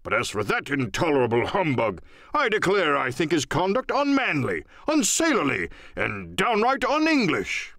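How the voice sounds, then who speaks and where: Man acting a pompous, blustering, high-voiced squire. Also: moderate pace, dry recording, clean studio sound, exaggerated voice. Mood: indignant. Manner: excitable, pompous, emphatic. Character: blustering, indignant, loose-tongued country squire gentleman. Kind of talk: audiobook radio-play acting.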